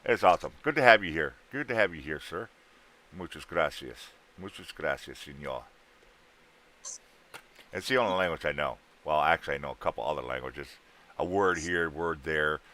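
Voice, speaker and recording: Deep-voiced joking trucker. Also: male voice, expressive, normal speed, sharp tone. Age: 30s. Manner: joking, teasing banter with trucker slang. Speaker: trucker livestream host. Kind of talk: casual livestream chat.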